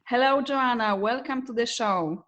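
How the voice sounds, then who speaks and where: A woman's voice: a little high, normal speed, fairly bright tone, muffled recording. A woman from Poland with a Polish accent, hosting an interview and asking questions in a friendly, chatty way with many "like"s. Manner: friendly, laughs often, says "like" a lot. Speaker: podcast host. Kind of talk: casual interview conversation.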